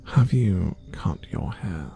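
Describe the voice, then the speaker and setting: Man voicing a deep, gravelly gentle wolf farmer. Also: expressive, soft background music, exaggerated voice. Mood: caring, reassuring. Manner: gentle, unhurried, slightly formal. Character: gentle dad-bod wolf farmer from a fantasy world. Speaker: ASMR roleplay performer. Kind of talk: ASMR roleplay, soft-spoken with whispering.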